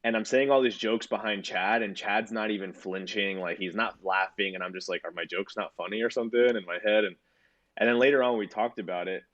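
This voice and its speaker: A man's voice: lowish voice, fairly even intonation, a little fast, a bit bright, highs cut off. A man in his twenties co-hosting a podcast, telling a long story in casual chat with lots of swearing and filler words. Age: 20s. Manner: rambling, swears a lot, many fillers. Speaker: podcast co-host.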